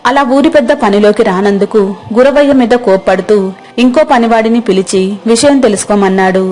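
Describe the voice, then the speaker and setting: A woman's voice: medium pitch, a little fast, a little mellow. A woman reading scripted narration for a moral story as its narrator.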